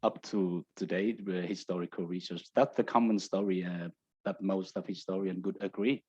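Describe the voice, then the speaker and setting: A man with a low voice and a Vietnamese accent gives explanatory answers as an academic guest. His delivery is hesitant, with halting phrases. The audio is somewhat dull and lo-fi. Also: steady tone, a little mellow, husky. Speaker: guest researcher.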